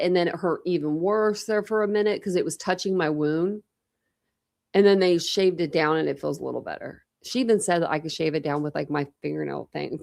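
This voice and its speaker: Young woman rambling on a livestream, slight lisp, kinda low gravelly voice. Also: a little mellow. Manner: chatty, rambling, answers chat comments. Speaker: true crime livestream host. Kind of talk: casual livestream chat with viewers.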